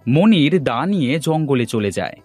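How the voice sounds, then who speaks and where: A man's voice: mid-range voice, sing-song, unhurried, darkish tone, faint music behind. A man narrating a scripted children's fairy tale as a storyteller.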